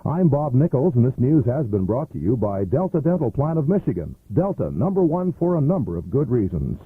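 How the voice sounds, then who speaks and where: Man reading radio news, husky and muffled. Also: mid-range voice, sing-song, brisk, no echo. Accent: American. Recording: muffled, narrowband 1980s radio aircheck.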